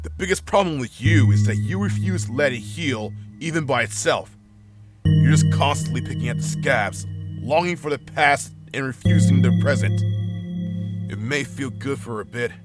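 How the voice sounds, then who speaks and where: A man's voice: mid-range voice, animated, moderate pace, over loud music, natural voice. A man playing a grieving woman's mind as a blunt, exasperated, sweary inner voice in an audio drama, confronting her with pointed questions. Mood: pressing, insistent. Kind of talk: amateur audio drama acting.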